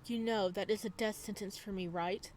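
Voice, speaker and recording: A woman's voice: medium pitch, steady tone, normal speed, darkish tone. A woman gaming YouTuber reading visual novel text aloud, mixed with casual commentary.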